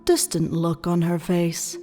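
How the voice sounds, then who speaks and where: A woman narrating a scripted fan fiction story in a measured storybook style. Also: lowish voice, level tone. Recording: long reverb, music behind.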